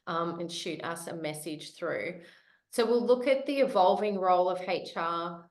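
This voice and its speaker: A woman's voice: lowish voice, roomy sound. A woman hosting a webinar, reading an upbeat, welcoming scripted introduction. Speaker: webinar host.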